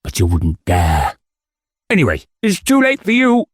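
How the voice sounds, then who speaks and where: An eccentric village squire from an audiobook, played by a male narrator, lifted above a low natural register. He is sly and theatrical, taunting outsiders with deliberate, drawn-out disdain in a close, dry recording. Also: wildly swooping pitch, breathy edge, dry recording, exaggerated voice. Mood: lofty, disdainful. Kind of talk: audiobook character acting.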